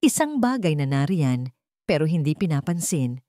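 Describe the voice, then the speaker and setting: Expressive Filipino woman storyteller, lowish voice. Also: normal speed, no echo, studio quality. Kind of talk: dramatic scripted narration.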